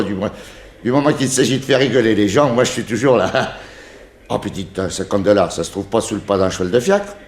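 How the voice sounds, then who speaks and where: An actor playing a jovial, defensive horse-cab driver with folksy, blustering speech in a 1960s French radio serial. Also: male voice, medium pitch, a little mellow, lightly acted. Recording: roomy old radio recording, faint noise.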